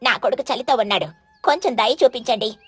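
A woman's voice: fairly high pitch, fairly quick, fairly bright tone, breathy edge, no room sound. A woman voicing a sly, selfish mother crow in a bird cartoon, with a wheedling, falsely innocent and pleading manner.

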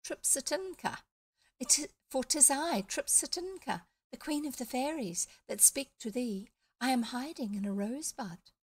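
A woman voicing a sly female parrot who pretends to be a fairy queen, in a small, high, girlish voice with grand, mock-old-fashioned speech. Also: normal speed, darkish tone, husky. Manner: mock-archaic, grandly solemn. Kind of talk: reading a storybook aloud.

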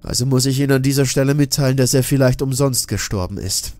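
A man narrating a scripted horror audiobook in a solemn, measured, ominous manner. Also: mid-range voice, darkish tone, no room sound.

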